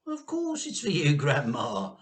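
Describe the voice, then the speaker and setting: A man's voice: a little high, wildly swooping pitch, unhurried. A man voicing a sly storybook husband who coaxes sweetly and gleefully. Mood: sly sweetness. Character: sly husband, sweetly coaxing his mother-in-law.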